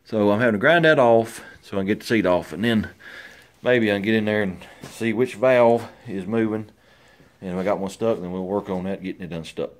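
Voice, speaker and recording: A man's voice: on the low side, a little fast. A middle-aged man in a large garage workshop, talking in an easygoing, wry way as he works on an old motorcycle and chats to the camera. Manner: easygoing, wry, talks to himself while working. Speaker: garage channel host. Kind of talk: casual repair commentary.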